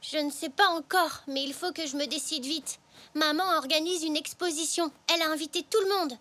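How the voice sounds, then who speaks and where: A woman playing an eager, cheerful little boy zebra in a children's cartoon, excitable and curious. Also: high-pitched, a little fast.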